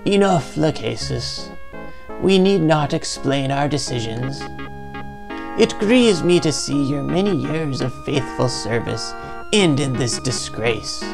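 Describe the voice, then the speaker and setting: A woman plays a stern guild elder from a fantasy game, speaking in solemn, archaic phrases. The voice is gravelly and grave, with clear music playing behind it. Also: a bass voice, animated, slow, heavily acted. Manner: solemn, formal, archaic diction. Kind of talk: dramatic fantasy game dialogue.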